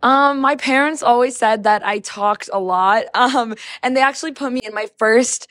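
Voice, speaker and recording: A teenage girl, a high school senior, answering casually in an interview. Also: normal speed, fairly bright tone, no echo, studio quality. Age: teen.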